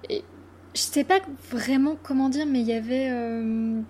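Woman acting a high, soft-toned weary witness. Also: steady tone, unhurried, a little hiss or hum. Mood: weary, reflective. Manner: hesitant, colloquial. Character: weary, blunt witness recalling a near-future crisis. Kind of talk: mock-interview radio fiction.

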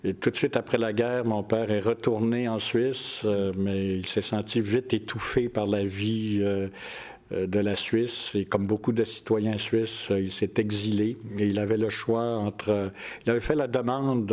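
Older man, low and unhurried. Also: a little mellow. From Quebec, Canada. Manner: hesitant, pauses often with many fillers. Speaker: storyteller and festival organizer. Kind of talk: relaxed interview conversation.

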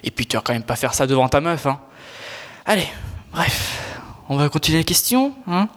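A man's voice: a little high, animated, moderate pace, a bit bright. A young man in his teens playing an exasperated, sardonic squire in a student audio drama, grumbling in a slangy way. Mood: scolding. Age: teenager.

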